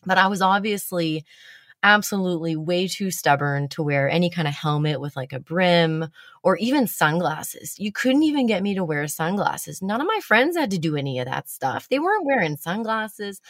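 A woman's voice: fairly low pitch, lively intonation, normal speed, dry recording, studio quality. A woman hosting a casual chat, telling stories about herself with blunt, self-mocking humor and the odd swear word.

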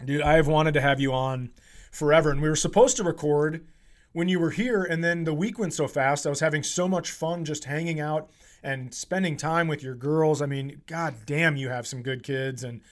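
A man with a slightly high voice hosts a casual comedy podcast. He talks informally, joking and swearing freely as he chats. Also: normal speed. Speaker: podcast host.

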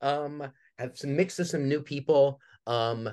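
A man's voice: mid-range voice, a little slow, a little mellow, clean tone. A man speaking as an interview guest, explaining his work casually and conversationally. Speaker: guest, theater artistic director.

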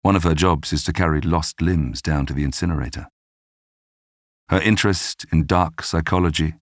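A man narrating a history podcast from a script in a measured storytelling manner. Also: a bass voice, no room sound, studio quality. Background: music.

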